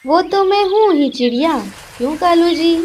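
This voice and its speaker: A woman's voice: very high voice, animated, moderate pace, a little mellow, a little hiss or hum. A woman voicing a sly, spiteful, self-satisfied female crow in a children's cartoon. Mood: smug. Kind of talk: Hindi children's cartoon voice acting.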